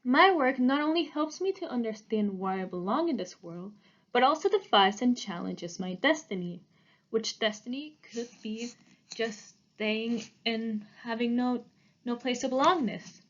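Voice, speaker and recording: Young woman, twenties, art student giving a prepared talk, earnest and a bit halting, fairly high voice, slightly dull recording. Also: animated, fairly bright tone. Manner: earnest, halting, with small restarts. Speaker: art student presenting her work. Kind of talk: prepared presentation.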